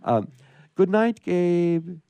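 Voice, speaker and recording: A man hosting a radio theatre show, reading a humorous story and chatting playfully with theatrical pauses. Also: high voice, very animated, creaky, warm tone.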